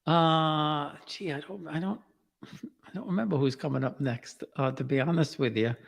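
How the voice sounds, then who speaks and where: A man's voice: a little high, normal speed, creaky, big-room sound. A man with a New York Queens accent, telling stories in an easygoing way in casual conversation. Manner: storytelling, easygoing, chuckles often. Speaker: podcast host and author. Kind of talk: casual interview chat.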